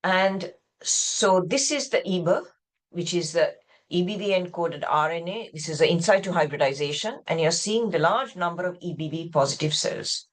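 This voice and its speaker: A woman from Sri Lanka with a slightly low voice delivers a specialist medical lecture. She explains things step by step in a discursive manner, often rewording a sentence halfway through. She speaks indoors over a video call. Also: normal speed. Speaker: pathologist lecturer.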